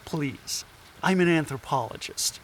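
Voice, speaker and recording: A man's voice: a little high, animated, measured pace, slight background noise. A man playing an earnest, nervous anthropologist in an audio drama, with a hesitant, stammering way of talking. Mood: curious, hesitant. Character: earnest, nervous male anthropologist, apologetic outsider.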